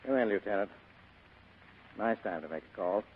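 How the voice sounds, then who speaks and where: A cool, wry private detective acted by a man in a 1940s radio mystery. He speaks tersely and rather low, in a muffled broadcast with long reverb. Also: moderate pace, a little hiss or hum, close to an everyday voice. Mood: wry, guarded. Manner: terse, sardonic.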